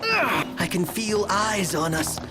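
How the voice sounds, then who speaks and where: An actor playing a jittery, chatty young adventurer in a video game, with excitable, jokey delivery. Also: male voice, sits high, takes it slowly, full character voice, rough recording. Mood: jumpy. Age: young adult. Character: jittery, chatty young man, cheeky scaredy-cat adventurer. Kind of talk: video game voice acting, casual banter. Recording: music under the voice.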